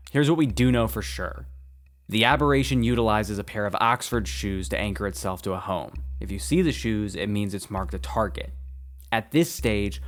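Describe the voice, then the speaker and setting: A man's voice: on the low side, close to an everyday voice. An actor playing a nervous young male agent who stammers and hesitates through a report. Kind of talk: audio drama acting.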